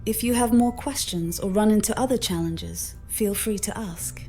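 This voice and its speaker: A woman's voice: medium pitch, moderate pace, warm tone, faint music behind. A synthetic woman's voice giving instructions as an AI assistant, polite, formal and fluent. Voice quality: synthetic text-to-speech voice. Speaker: AI copilot assistant. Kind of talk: scripted instructional explanation.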